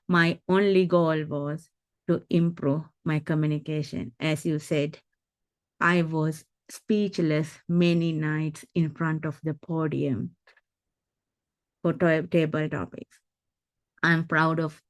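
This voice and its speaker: A woman from Sri Lanka, moderating a club event and asking an earnest question, halting and searching for words. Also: sits low, dry recording. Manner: halting, with fillers and restarts, earnest.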